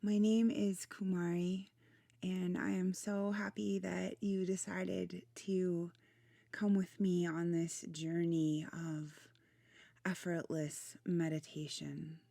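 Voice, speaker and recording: Woman, meditation guide, soothing and unhurried, soft breathy endings, warm dark tone, close dry recording. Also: lowish voice, little pitch movement, dry recording. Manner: soothing, unhurried, gentle phrasing with pauses. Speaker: meditation guide, channel host.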